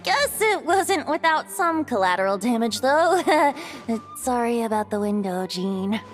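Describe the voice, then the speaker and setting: A woman's voice: on the high side, big pitch swings, unhurried. A woman playing an easygoing, cheeky young adventurer in a video game, with a light, joking manner. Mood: breezy, cheeky. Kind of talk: video game cutscene acting. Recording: music behind the voice.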